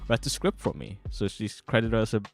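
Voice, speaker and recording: Halting, excitable male streamer over music. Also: fairly low pitch, gravelly. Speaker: game developer and streamer. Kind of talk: casual livestream commentary. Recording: music behind the voice.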